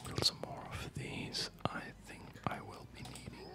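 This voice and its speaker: A person whispering ASMR gameplay commentary, hesitantly thinking aloud as the host of a gaming video. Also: a little slow.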